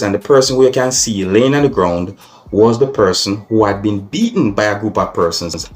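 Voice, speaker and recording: A man with a Jamaican accent giving vlog commentary to his viewers, in deliberate, repetitive, formal phrasing mixed with patois. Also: on the low side. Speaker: video blogger.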